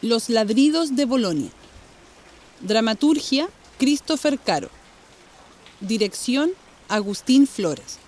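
A woman's voice: a little high, expressive, takes it slowly, long pauses, darkish tone. A woman reading scripted production credits as an announcer.

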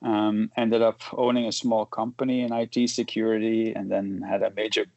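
Low, gravelly Belgian-born man, halting speech. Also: flat delivery, darkish tone, no echo, muffled recording. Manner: halting, many restarts and fillers, chuckles while talking. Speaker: guest, photojournalist. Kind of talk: casual interview conversation.

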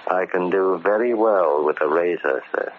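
Man acting a very deep-voiced, menacing manservant. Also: lightly acted. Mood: quietly ominous. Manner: formal, measured, politely menacing. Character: vengeful ex-convict posing as a deferential, bookish manservant. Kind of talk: old time radio horror acting. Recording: muffled, noisy old radio recording.

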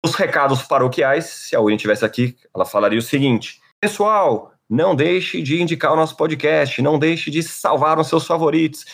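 A man's voice: a little high, moderate pace, few pauses. A man with a Brazilian Portuguese accent hosting a podcast alone, upbeat and playful in a casual chat. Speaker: podcast host.

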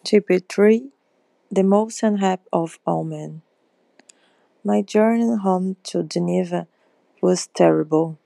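A woman reads a story aloud at a very slow pace. Her voice is heavily creaky and mellow, recorded close and dry. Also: on the low side, dry recording. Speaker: participant reading aloud. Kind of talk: reading first-person story aloud.

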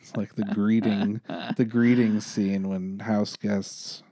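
A man's voice: lowish voice, flat delivery, takes it slowly, mellow, no echo. A young adult man co-hosting a podcast in casual chat, laid-back and rambling, laughing easily. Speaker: podcast co-host.

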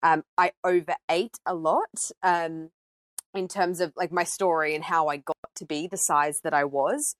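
A woman's voice: deep voice, steady tone, a little slow, no echo. A woman from Australia speaking as a podcast guest, telling personal stories in a conversational way.

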